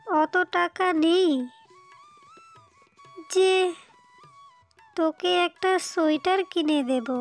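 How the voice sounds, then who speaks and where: A woman's voice: very high-pitched, a bit bright, exaggerated voice. A woman playing a poor, humble mother in a cartoon, pleading hesitantly and anxiously for her child. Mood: gentle, resigned. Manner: hesitant, pleading, drawn-out delivery. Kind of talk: slow melodramatic cartoon dialogue.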